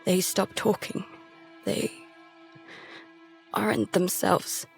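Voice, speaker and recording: An actress playing a terrified girl who narrates a survival horror audio drama in halting, fragmented phrases. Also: medium pitch, little pitch movement, vocal fry, faint music behind. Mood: grim dread. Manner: halting, fragmented, hushed dread breaking into pleading.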